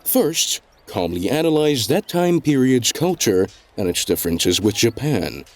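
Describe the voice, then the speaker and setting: A man reading scripted story narration in a measured, deliberate storytelling delivery. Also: medium pitch, sing-song. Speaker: narrator of a web novel fandub.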